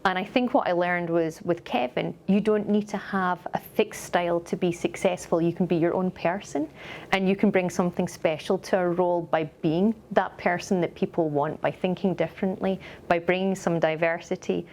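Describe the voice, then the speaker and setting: Woman, Scottish accent, middle-aged, upbeat executive, fry in the voice, faint background hiss. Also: on the low side, fairly even intonation, normal speed, a little mellow. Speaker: company executive. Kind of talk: corporate video interview.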